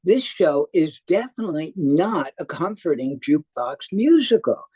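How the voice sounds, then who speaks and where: Slow, lively man reading a review. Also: a little high, husky, no echo. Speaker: theater reviewer. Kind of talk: scripted review read aloud.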